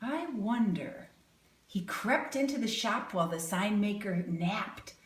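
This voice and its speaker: A woman reading a children's picture book aloud expressively, pausing to put questions to young listeners with exaggerated emphasis. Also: mid-range voice, lively intonation, fairly bright tone. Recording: roomy, echoing sound.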